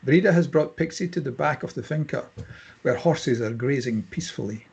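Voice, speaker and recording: A man's voice: mid-range voice, moderate pace, creaky, a little mellow, slightly rough, dull audio. A man reading out screenplay stage directions as scripted narration at a table read.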